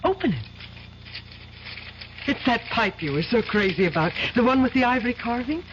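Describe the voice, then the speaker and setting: A teasing, coaxing young woman in an old radio mystery play. Her voice rises and falls with lively swings as she charms and then presses. The broadcast sounds dull and lo-fi with a faint hiss behind it. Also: a little high, moderate pace. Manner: playful and coaxing, turning insistent. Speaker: lawyer's girlfriend and boss's daughter. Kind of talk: 1970s radio drama acting.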